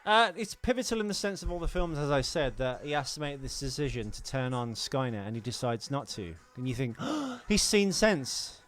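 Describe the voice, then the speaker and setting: A man's voice: medium pitch, big pitch swings, normal speed, few pauses, fairly bright tone. A British man co-hosting a film podcast, explaining things in an informal, jokey, conversational way. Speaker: podcast co-host. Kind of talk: casual film commentary chat.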